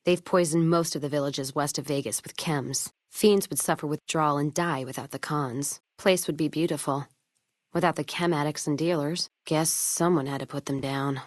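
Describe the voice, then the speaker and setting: A woman's voice: deep voice, fairly even intonation, normal speed, dry recording, clean studio sound. A woman playing a blunt, hard-drinking, foul-mouthed wasteland companion in a video game, wry and wary, with clipped, folksy phrasing. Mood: wary, disdainful.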